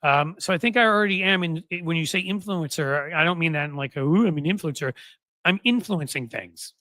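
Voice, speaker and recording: Fifty-something male host, rambling and wry, bright tone, dry close-miked sound. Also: a little high, dry recording, rough recording. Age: 50s. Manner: rambling, self-interrupting, wry asides. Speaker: political podcast host. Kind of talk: casual livestream talk to viewers.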